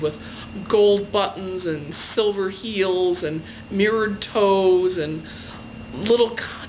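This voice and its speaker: A woman storyteller telling a fairy tale in her own words, gushing and stretching out the descriptive words. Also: on the low side. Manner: gushing emphasis, drawn-out adjectives. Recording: dull lo-fi sound with faint noise.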